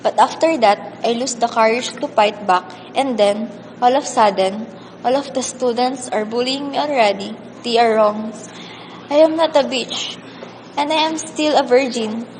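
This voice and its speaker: A woman's voice: on the high side, measured pace, close to an everyday voice. A woman with a Filipino accent playing an orphaned, bullied teenage girl in an amateur radio drama, earnest and melodramatic. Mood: wounded, mournful. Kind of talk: amateur radio drama, first-person emotional narration. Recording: reverberant hall, audible background hiss.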